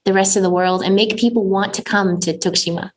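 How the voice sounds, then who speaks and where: A woman's voice: medium pitch, steady tone, quick pace. A woman from Canada speaking casually and enthusiastically as an interview guest, with a bubbly, giggly manner.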